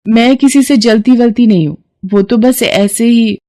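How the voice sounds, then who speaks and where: A woman as a high-pitched humble servant woman. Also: a little slow, warm tone, lightly acted. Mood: wistful, defiant. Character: humble, hardworking servant woman, wistful and longing.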